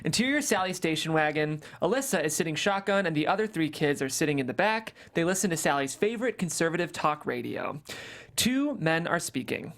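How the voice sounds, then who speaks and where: A woman's voice: low-pitched, lively intonation, a little fast. A woman hosting a script table read, speaking casually and narrating stage directions.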